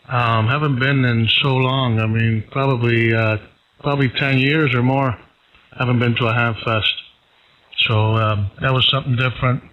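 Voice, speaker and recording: A man's voice: medium pitch, muffled recording. A man chatting casually as an amateur radio operator, in a hesitant, rambling, easygoing manner. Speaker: amateur radio operator hosting a live stream.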